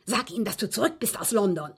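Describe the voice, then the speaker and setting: Haughty old lady with a husky, swooping voice. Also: medium pitch, expressive, fast talker. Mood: indignant, precise. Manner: precise, formal, haughty, dryly scornful. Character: proud, articulate old English widow, coolly confessing to police. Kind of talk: radio drama acting.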